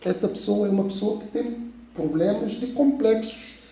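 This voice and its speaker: A man's voice: high voice, normal speed, vocal fry, dark tone, slight background noise. A man from Guinea-Bissau with a Guinea-Bissau Portuguese accent, preaching a sermon in a rambling way, repeating phrases and saying "pronto" often. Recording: very echoey room, voice from far off.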